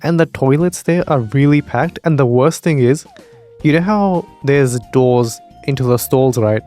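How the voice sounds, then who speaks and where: A man's voice: mid-range voice, measured pace, mellow, soft background music. Blunt young man ranting over clips. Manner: blunt, opinionated, swears freely. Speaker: reaction video host. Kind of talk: casual reaction commentary.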